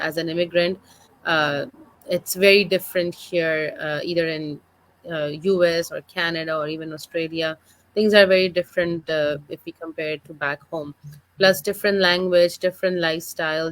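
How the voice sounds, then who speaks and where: A woman in her thirties from Pakistan speaks over a video call as a guest, friendly and fluent, with plenty of filler uhs. Her voice sits a little low. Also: rough recording. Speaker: guest, digital business mentor. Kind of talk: online interview, personal story.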